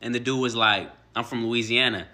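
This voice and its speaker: American guy ranting on a clip, super bright sharp voice, keeps repeating himself. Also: mid-range voice, brisk. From United States. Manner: animated, repetitive, exasperated ranting. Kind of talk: casual ranting commentary.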